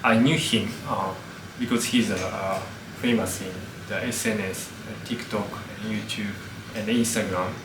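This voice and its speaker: A man hosts a casual interview in halting English, stopping often for "uh." His voice is on the low side. He sounds distant from the mic in an echoey room, with clear background noise. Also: fairly even intonation. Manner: halting, many "uh" pauses. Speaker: host.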